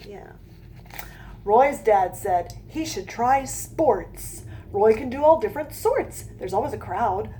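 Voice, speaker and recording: A woman author reading her children's book aloud in a gentle sing-song manner, with chatty asides to young listeners. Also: on the high side, slight background noise.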